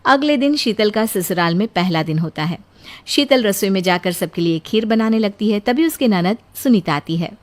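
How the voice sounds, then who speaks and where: A woman's voice: mid-range voice, expressive, moderate pace, voice pushed down, natural voice. A woman playing a storyteller who narrates a family moral tale in scripted style. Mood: storytelling.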